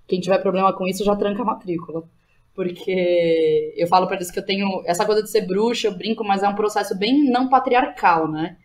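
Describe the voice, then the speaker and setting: A woman's voice: mid-range voice, a little fast, darkish tone. Chatty Brazilian woman over background noise. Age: 30s. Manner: chatty and candid, often seeking agreement with tag questions. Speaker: podcast guest, theatre teacher and playwright. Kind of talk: casual interview talk. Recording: clear background noise behind the voice.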